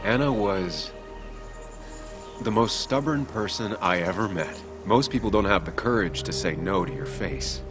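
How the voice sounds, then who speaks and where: An actor playing a calm, earnest, grieving man in a video game, speaking in a measured, solemn way. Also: fairly low pitch, music in the background, lightly acted. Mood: solemn, tender. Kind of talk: dramatic video game acting.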